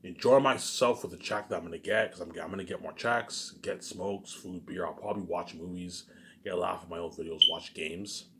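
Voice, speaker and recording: A man's voice: deep voice, a little fast, gravelly, noisy background. A man ranting alone to his viewers, profane and boastful, who keeps circling back with repeated words. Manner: repetitive, keeps adding "obviously". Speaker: self-published writer vlogger. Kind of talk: rambling solo rant to viewers.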